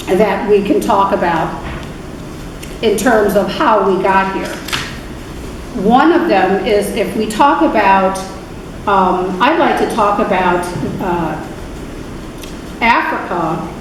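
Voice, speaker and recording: A woman giving an informal history talk to an adult church class, unhurried, with many fillers and self-corrections. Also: medium pitch, animated. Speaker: guest speaker at adult faith class. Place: church meeting room. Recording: very echoey, distant, noisy room recording.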